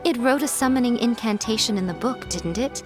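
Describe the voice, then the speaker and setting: A woman's voice: on the high side, brisk, over music, close to an everyday voice. A woman voicing a sly, confident fox shrine priestess in a video game, with an amused, teasing way of talking.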